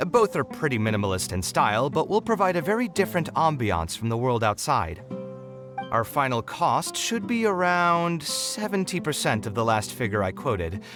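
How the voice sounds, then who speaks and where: A man voicing an earnest young architect in a video game, laying out his plans in a brisk, businesslike way. His pitch moves a lot as he talks, and game music plays underneath. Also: lightly acted. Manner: brisk, businesslike planning talk. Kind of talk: game voice acting.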